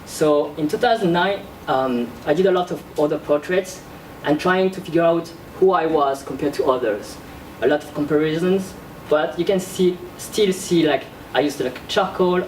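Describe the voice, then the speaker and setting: A young man, an artist born in Korea and raised in France, presenting his work in a classroom in a halting, casual way with many fillers. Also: a little high, recorded from a distance, a little hiss or hum. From born in South Korea, raised in France. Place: classroom with projector screen. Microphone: far camera at back of room. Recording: roomy echo from across the room.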